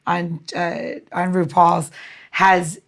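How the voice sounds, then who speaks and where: A woman's voice: lowish voice, flat delivery, very slow, creaky, fairly bright tone. A woman co-hosting a podcast in casual chat, informal and gushing, often repeating herself as she goes. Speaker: podcast co-host.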